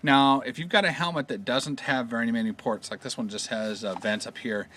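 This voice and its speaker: A man giving a casual step-by-step installation tutorial, explaining in a halting way and often trailing off. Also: mid-range voice, steady tone, a bit bright, a little hiss or hum. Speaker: product installation instructor.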